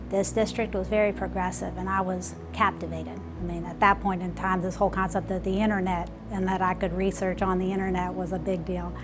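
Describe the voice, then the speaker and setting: A woman in her forties, a school principal, talking earnestly and reflectively in an interview, often saying "like" and "you know". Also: medium pitch. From United States.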